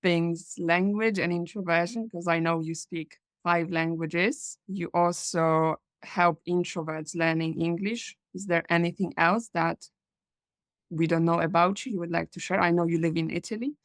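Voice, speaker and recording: Polish-native woman host, lower voice, dry sound, upbeat. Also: fairly even intonation, moderate pace, no echo. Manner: upbeat, laughs often. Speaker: podcast host. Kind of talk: casual interview conversation.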